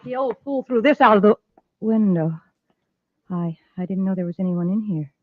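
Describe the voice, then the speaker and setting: A woman's voice: mid-range voice, moderate pace, natural voice. A woman playing a villain's flustered female assistant in a 1950s radio serial. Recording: dull old radio broadcast recording.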